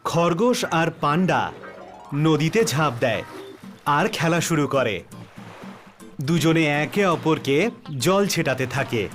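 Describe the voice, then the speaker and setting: A man's voice: on the high side, expressive, takes it slowly, pauses often, a bit bright, faint music behind. A man narrating a scripted children's story as the storyteller.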